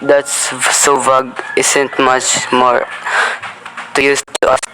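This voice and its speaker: A young actor voicing a brusque, patronizing police officer on desk duty, his bright voice held flat and even. A faint hiss sits under the recording of this amateur radio play. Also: mid-range voice, slow pace, low-quality recording.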